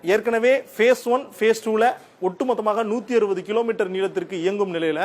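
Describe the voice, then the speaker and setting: A man reports the news in a distinctly high voice. He explains details step by step, as if guiding viewers through graphics. His wording is semi-formal, moving between report phrasing and everyday speech. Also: steady tone, brisk, darkish tone.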